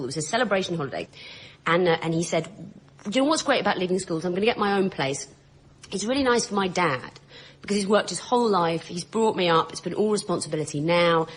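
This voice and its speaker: A woman guest on a comedy panel show, telling anecdotes haltingly and chuckling as she goes. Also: on the low side. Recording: live audience laughter, clearly noisy background. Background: studio audience laughing.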